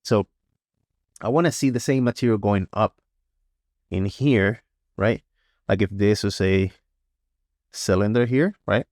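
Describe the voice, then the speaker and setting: A man teaching a digital painting paintover, sounding congested and a little hoarse, talking casually with frequent "right?" checks and small restarts. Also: lowish voice, unhurried, pauses often, a little mellow, no room sound, studio quality. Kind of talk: tutorial voice-over.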